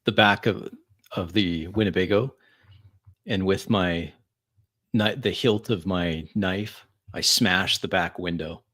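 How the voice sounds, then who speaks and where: Deep-voiced man in slow roleplay narration. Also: a bit bright. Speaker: tabletop roleplay player. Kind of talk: improvised first-person roleplay narration.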